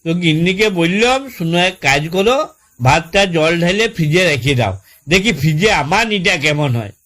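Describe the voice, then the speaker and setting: A man's voice: a little high, expressive, moderate pace, fairly bright tone. A man playing an aggrieved village husband in a comic phone-call skit, telling long-winded, folksy complaints in a rural West Bengal accent. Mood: exasperated, rambling. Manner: folksy, long-winded storytelling with exasperated repetition. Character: aggrieved village husband complaining about his new fridge.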